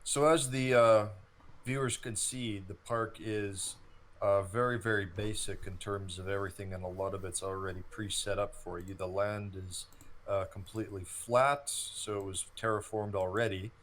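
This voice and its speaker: A man's voice: lowish voice, steady tone, normal speed, a bit bright. A thirty-year-old man co-hosting a gaming show, reminiscing and explaining at length in casual gameplay commentary.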